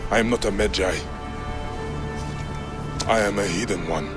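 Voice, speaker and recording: Game role: world-weary male assassin, played by an actor, grave, low delivery under very loud music. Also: moderate pace, lightly acted. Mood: grim resolve. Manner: grave, declarative. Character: grim, world-weary male Egyptian warrior turned assassin. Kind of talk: dramatic game cutscene acting.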